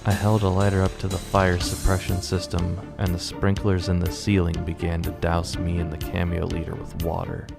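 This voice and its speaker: Deep, gravelly man narrating slowly. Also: completely flat delivery, loud music behind the voice. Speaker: writer and narrator. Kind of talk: scripted radio drama narration.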